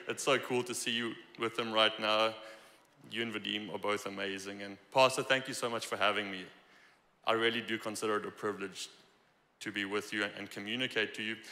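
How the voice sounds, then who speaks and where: A young man with a South African accent preaching a joking, animated sermon on a church stage into a handheld mic. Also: medium pitch. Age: young adult. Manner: joking, animated, gestures freely while preaching. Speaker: guest preacher. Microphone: handheld microphone. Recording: long hall reverb.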